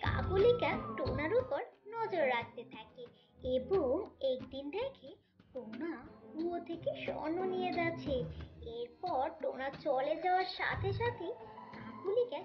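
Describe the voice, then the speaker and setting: Extremely high-pitched woman storyteller. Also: lively intonation, measured pace, lo-fi sound. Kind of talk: scripted children's cartoon narration. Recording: music playing behind voice.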